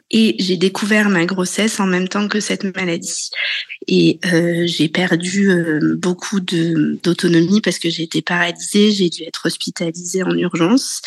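A woman in her thirties telling her own story slowly, with many fillers and hesitations. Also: fairly low pitch, creaky, no echo. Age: 30s. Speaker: interview guest. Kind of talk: personal testimony.

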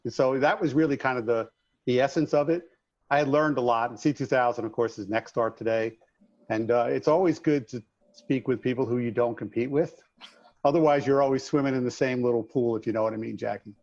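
A man's voice: medium pitch, steady tone, fairly quick, darkish tone, dull audio. A middle-aged man from Long Island with a slight New York accent, chatting as a guest in an interview in an upbeat, folksy way. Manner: upbeat, folksy, addresses host by name. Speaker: guest, trades business owner. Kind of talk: casual interview chat.

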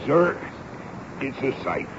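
Gravelly, folksy old-radio army sergeant. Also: male voice, mid-range voice, very animated, slow pace. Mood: exasperated. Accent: American. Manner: folksy deference, rustic grammar. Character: gruff, earnest, suspicious army sergeant, dutiful and folksy. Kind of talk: vintage radio drama acting. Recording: dull, noisy 1970s radio broadcast.

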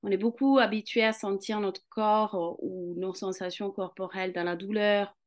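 A woman's voice: mid-range voice, steady tone, normal speed. Woman, hesitant and searching. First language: Italian. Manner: hesitant, many fillers and restarts, searching for words. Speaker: guest, Pilates and Rolfing practitioner. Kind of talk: conversational interview.

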